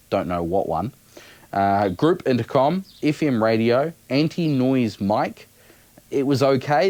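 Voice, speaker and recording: A man's voice: fairly low pitch, a little mellow, dry recording. A man giving a casual, unscripted product review in an offhand, matter-of-fact way.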